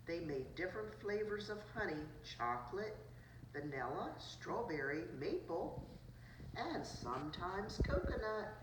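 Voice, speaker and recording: A woman's voice: fairly low pitch, big pitch swings, measured pace. A woman preschool teacher reading a picture book aloud to children, adding simple asides about the pictures. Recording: roomy, distant mic, noisy background.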